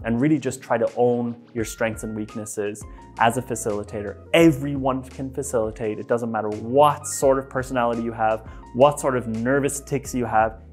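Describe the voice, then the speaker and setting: Chatty man with Irish-American accent. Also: mid-range voice. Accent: Irish mixed with American. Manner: lively, chatty, self-deprecating, with quick restarts. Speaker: facilitation trainer. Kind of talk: casual on-camera lesson. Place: bright office room by a whiteboard.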